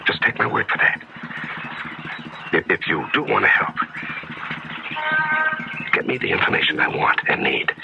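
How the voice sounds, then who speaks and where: Low-voiced, desperate, haunted man. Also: very animated, creaky, lo-fi sound, exaggerated voice. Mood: urgent, desperate. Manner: halting, urgent, intense. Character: desperate, haunted male journalist under a curse. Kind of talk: 1970s radio drama acting. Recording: noisy vintage radio broadcast.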